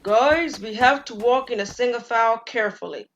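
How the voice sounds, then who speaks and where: A young college guy takes the lead on a risky trail, giving brisk, upbeat directions in a story drama, voiced by a woman storyteller. His voice sits fairly high and sounds lively as he keeps the group moving. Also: highs cut off, a little hiss or hum, close to an everyday voice. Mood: directing. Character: eager young college man, brave front, group leader. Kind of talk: audio drama acting.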